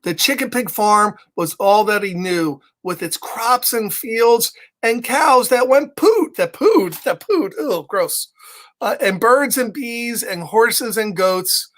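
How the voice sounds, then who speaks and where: A man's voice: high-pitched, expressive, slow pace, fairly bright tone. A man hosting children's storytime, chatting playfully and speaking directly to young listeners as he reads. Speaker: children's storytime host and reader. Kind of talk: casual livestream chat and children's story reading.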